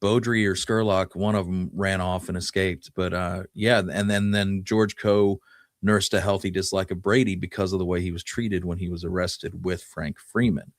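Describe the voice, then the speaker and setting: A man co-hosting a talk show, telling history conversationally and pausing now and then to recall details. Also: low voice, fairly even intonation, no echo, clean studio sound. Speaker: podcast co-host.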